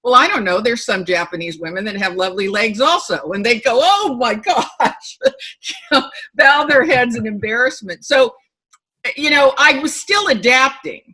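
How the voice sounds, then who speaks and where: Bright-voiced woman telling stories animatedly. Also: a little high, normal speed, breathy edge. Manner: animated storyteller, emphatic and candid. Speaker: author guest. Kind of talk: interview on a video call. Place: home room with bookshelves. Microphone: video call.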